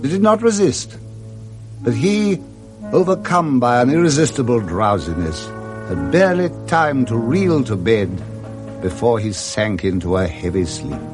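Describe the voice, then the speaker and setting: A man's voice: mid-range voice, big pitch swings, a little slow, over music. A man with an English accent narrating expressively and theatrically in a scripted radio drama.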